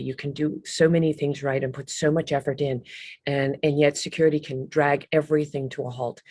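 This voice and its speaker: A middle-aged woman from Ireland, a guest telling her career story in a casual, animated way on a video call. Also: low-pitched, dry recording. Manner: lively, animated storyteller with frequent ums and restarts. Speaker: guest, business executive. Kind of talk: casual interview talk.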